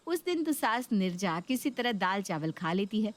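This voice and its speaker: A woman's voice: mid-range voice, very animated, normal speed. A woman narrator reading scripted narration for a cartoon story.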